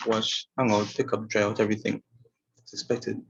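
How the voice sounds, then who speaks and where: A man's voice: lowish voice, level tone, moderate pace, a bit bright, a little hiss or hum. A young Trinidadian man giving an informal talk about a woman he interviewed, speaking hesitantly with many fillers and restarts. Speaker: student presenter. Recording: muffled, lo-fi sound.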